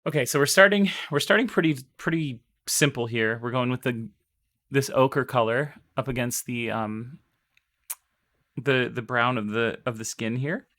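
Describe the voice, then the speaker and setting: A young man with a hoarse, fading voice, chatting with viewers as he talks through painting miniatures live on stream. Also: mid-range voice, moderate pace, fairly bright tone. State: hoarse, losing his voice.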